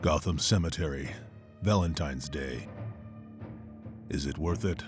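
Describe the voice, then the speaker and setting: A man's voice: rumbling low, measured pace. An actor playing a brooding masked vigilante who narrates his thoughts. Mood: grim. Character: brooding masked male vigilante, grim and determined. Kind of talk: inner-monologue narration. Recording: loud music score behind.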